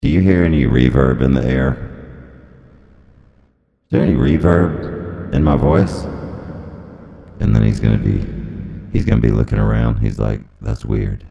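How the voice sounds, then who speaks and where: A man giving a rambling, deadpan comedy monologue through a voice transformer that makes him sound deep and gravelly. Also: measured pace, pauses often, dull audio. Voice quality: electronically deepened, gravelly. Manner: deadpan, absurd musing. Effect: voice transformer pitch shifter. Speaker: comedy performer.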